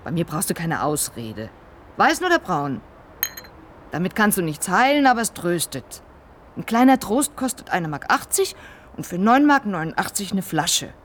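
Radio drama role: streetwise snack-stand woman, kind-hearted and bantering, very animated delivery. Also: fairly low pitch, moderate pace, slight background noise. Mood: caring, chatty. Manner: chatty, bantering, plain-spoken. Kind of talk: German radio crime drama acting.

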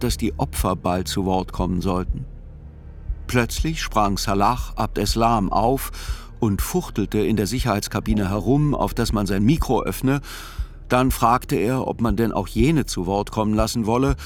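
A man giving scripted first-person literary narration in a radio play, measured and reflective. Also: fairly low pitch.

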